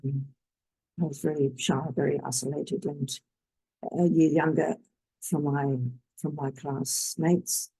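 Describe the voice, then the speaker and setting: A speaker sharing memories of school on a video call in a halting way, with many pauses and fillers. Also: medium pitch, flat delivery, gravelly, dark tone, slightly rough, no room sound, low-quality recording. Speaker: webinar participant.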